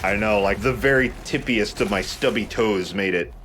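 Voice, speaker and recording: A man's voice: on the low side, normal speed, fairly bright tone, a little hiss or hum. A man giving casual gameplay commentary as host of a let's play, explaining mechanics as he goes. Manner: explains game mechanics as he plays.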